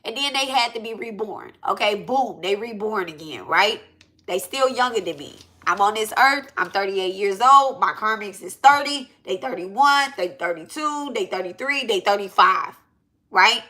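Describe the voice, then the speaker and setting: Bright-voiced blunt woman in her thirties. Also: medium pitch, normal speed. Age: 30s. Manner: animated and blunt, with slangy asides and repeated "right?" and "okay?". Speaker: spiritual reader and channel host. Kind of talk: unscripted spiritual talk to a live audience.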